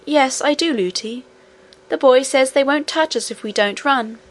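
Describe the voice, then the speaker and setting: A woman voicing an earnest, high-pitched little storybook princess. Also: normal speed, lightly acted. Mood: earnest, puzzled. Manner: earnest, childlike, precise politeness. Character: little princess, young girl, polite, innocent, stubborn, affectionate. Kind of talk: storybook character reading.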